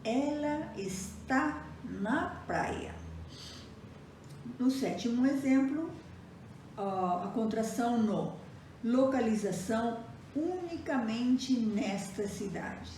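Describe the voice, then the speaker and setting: A woman teaching a slow, deliberate grammar lesson, reading out example sentences and setting Portuguese beside Spanish. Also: a little high, animated, dark tone, far from the mic. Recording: very echoey room, voice set back from the mic, faint noise.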